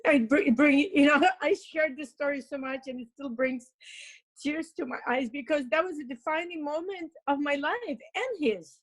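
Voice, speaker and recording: A woman in her fifties with a Russian accent tells her own story as an interview guest. She speaks in a fairly high voice, upbeat and emotional, and fills her talk with "like" and "you know". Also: moderate pace. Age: 50s. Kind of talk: personal storytelling.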